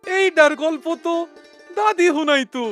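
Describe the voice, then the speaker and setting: A woman's voice: sits high, unhurried, fairly bright tone, faint music behind. A woman playing a kindly rustic village elder in a cartoon, talking colloquially and expressively to children. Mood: musing. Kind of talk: animated cartoon dialogue.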